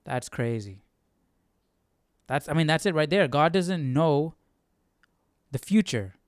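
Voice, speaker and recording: Male livestream host: conversational, musing aloud, somewhat raised pitch, very little room sound. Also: lively intonation, slow pace, dry recording, clean studio sound. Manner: thinking aloud, often seeking agreement with tag questions. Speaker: livestream Bible study host. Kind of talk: casual commentary.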